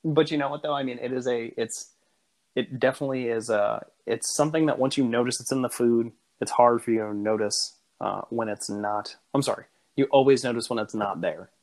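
A man's voice: mid-range voice, brisk. A man co-hosting a podcast, chatting casually in an enthusiastic, rambling way that often restarts and corrects itself. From United States. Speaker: podcast co-host. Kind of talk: casual chat.

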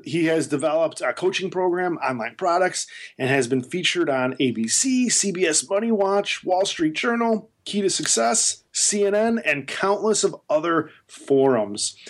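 A man co-hosting a podcast, reading a guest introduction in an upbeat way. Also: fairly high pitch. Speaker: podcast co-host.

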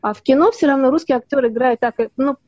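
Warm-voiced woman, muffled lo-fi audio. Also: sits high, fast, no room sound. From Russia (Leningrad). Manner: rambling, many fillers and restarts. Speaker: actress and dubbing artist, guest. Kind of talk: casual interview talk. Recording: dull lo-fi sound.

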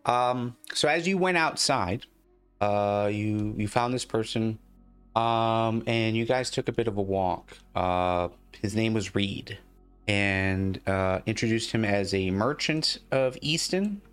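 A man with a fairly low voice narrates a tabletop game as its game master. His delivery is improvised and halting, with words often repeated. Soft music plays behind him. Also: clean tone.

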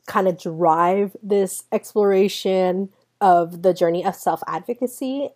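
A woman in her twenties whose first language is Spanish hosts her own podcast. She tells personal stories in an earnest way and often speaks straight to her listeners. Her voice sits a little low. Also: a little mellow. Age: 20s. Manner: earnest personal storytelling, addresses listeners directly. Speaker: podcast host. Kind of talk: solo podcast monologue.

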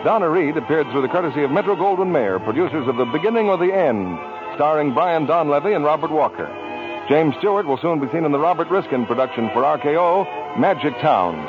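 Male announcer, American, highish animated voice, formal 1940s radio delivery over music. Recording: dull old radio, music behind.

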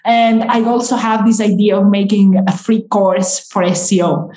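A woman whose first language is Greek, explaining her work as an SEO trainer in a relaxed interview. She talks haltingly, with lots of fillers and restarts. Her voice rings in an echoey room over a video call. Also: mid-range voice. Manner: hesitant, many fillers and restarts. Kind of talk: casual interview talk.

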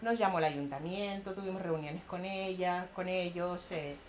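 A middle-aged woman from Chile with a Chilean accent, speaking at a community talk and telling lively stories about her neighborhood projects. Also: lowish voice, moderate pace. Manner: animated storytelling, laughing often, acting out voices of others. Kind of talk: public talk with audience questions. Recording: echoey room, some background noise.

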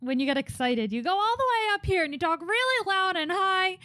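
A female podcast co-host in casual chat with a calm, dry, teasing manner and audible breathing. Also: very high voice, a little fast, dry recording. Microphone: studio podcast mic.

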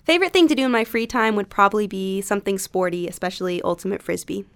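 A woman's voice: medium pitch, quick pace, smooth. A young woman from North Carolina, a college student guest who speaks in a friendly, articulate way. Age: young adult. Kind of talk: casual chat.

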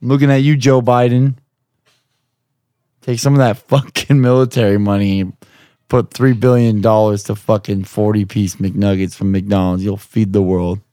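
A young man hosting a podcast, hoarse from screaming, talking in a rambling, profane, jokey way. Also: fairly low pitch, level tone, moderate pace, warm tone, no room sound, studio quality. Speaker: podcast host. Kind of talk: casual chat.

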